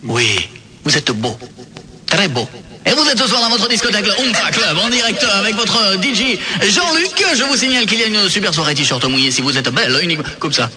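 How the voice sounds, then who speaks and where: Male radio host, high and piercingly bright, playful and mock-scandalized. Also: very animated, fairly quick. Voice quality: playful, laughing, mock-outraged. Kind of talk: joking radio chat.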